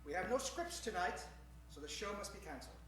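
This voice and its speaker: Echoey, high-pitched man emceeing on stage. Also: very animated, fast, fairly bright tone, slight background noise. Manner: courteous, mock-formal old-time radio showman. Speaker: stage announcer warming up an improv show. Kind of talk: theatrical live emceeing to an audience. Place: stage in front of a live audience. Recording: echoey hall, distant microphone.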